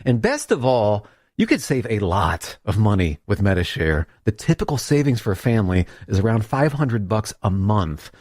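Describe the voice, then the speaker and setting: A man's voice: lowish voice, sing-song, normal speed, darkish tone, dry recording, studio quality. A man reading a radio ad in an upbeat, persuasive way. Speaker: ad announcer. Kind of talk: radio ad read.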